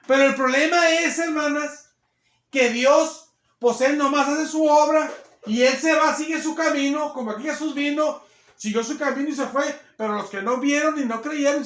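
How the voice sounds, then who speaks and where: A man's voice: extremely high pitch, fairly bright tone, roomy sound. A man preaching a sermon, with a strong voice that he holds back and then lets rise into emphatic bursts. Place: church.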